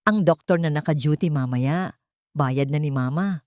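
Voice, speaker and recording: Scheming husband, read by a female narrator, audio drama villain, low register, sweet-talking and cold, dry recording. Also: normal speed, no room sound, lightly acted. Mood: coldly tender. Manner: soft, sweet-talking, calculating. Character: scheming, coldly tender husband plotting murder.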